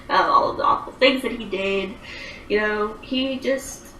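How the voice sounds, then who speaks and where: A woman's voice: medium pitch, moderate pace, sharp tone, roomy sound, recorded from a distance, a little hiss or hum. A woman in her twenties chatting casually on a livestream, rambling with lots of fillers as she answers viewer comments. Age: 20s.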